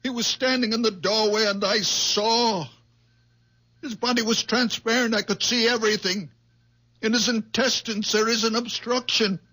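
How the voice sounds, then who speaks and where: A gentle farmer healer. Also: male voice, sits high, fairly even intonation, moderate pace, rough recording. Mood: earnest. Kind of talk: 1970s radio drama acting.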